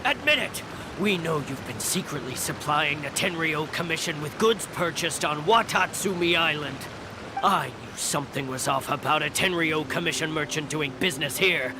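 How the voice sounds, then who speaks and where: Stern accusing soldier; animated delivery, game music behind. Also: male voice, fairly high pitch, normal speed, background noise. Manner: forceful, accusatory.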